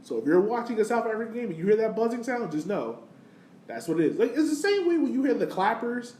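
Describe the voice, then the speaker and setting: Male American podcast host, higher register, informal and slangy, recorded in an echoey, noisy room. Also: sing-song, fast talker, mellow. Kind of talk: casual sports podcast chat. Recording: roomy echo, background noise.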